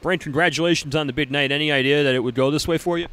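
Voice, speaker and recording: A young man doing postgame interviews in a college gym, rattling off scores and stats in a crisp broadcast delivery into a handheld mic. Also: on the high side, steady tone, rapid-fire, a bit bright. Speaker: sideline reporter.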